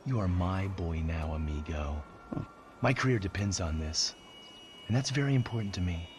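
An actor playing an arrogant, bullying American federal agent in a video game, smug and aggressive. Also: male voice, sits low, normal speed, background noise, natural voice. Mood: domineering. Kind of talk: video game cutscene acting.

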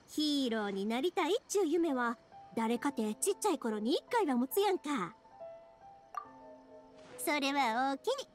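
A woman's voice: high-pitched, a little slow, long pauses. A woman playing a cheerful, chatty young fireworks craftswoman with a Kansai accent in an anime game. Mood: excited, bashful. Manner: peppy, animated, talkative. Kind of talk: Japanese anime video game voice acting. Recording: background music behind dialogue. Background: game soundtrack music.

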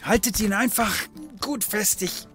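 Cocky high-voiced male detective. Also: measured pace, a bit bright, soft background music. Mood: brisk, bossy. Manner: wry, cocksure. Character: cocky male occult detective, fear hidden under bravado. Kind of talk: comedy audio drama acting.

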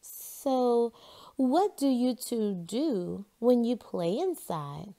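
A confident young girl scientist from a picture book, read by a woman, curious and sure of herself. The delivery is lively and measured, with an inquisitive lilt. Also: a little high, voice pushed up, exaggerated voice. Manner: assured, inquisitive, explaining.

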